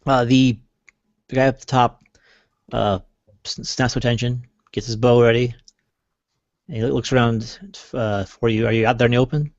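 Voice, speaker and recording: A man improvising scene descriptions as game master, halting and hesitant as he goes. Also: medium pitch, little pitch movement. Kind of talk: improvised tabletop game narration. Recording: dull, roomy lo-fi recording.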